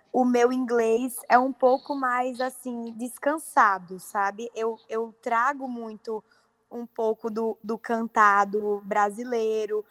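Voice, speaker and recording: Brazilian actress chatting in an interview, kinda high pitched, animated, dry close sound. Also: female voice, dry recording. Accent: Brazilian Portuguese. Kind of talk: interview answers.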